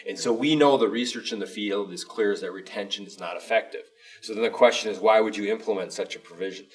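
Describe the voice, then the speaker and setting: A man's voice: fairly low pitch, fast, recorded from a distance. A man speaking as a school superintendent in an informal panel discussion, explaining emphatically in a rambling way. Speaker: school superintendent co-hosting a district show.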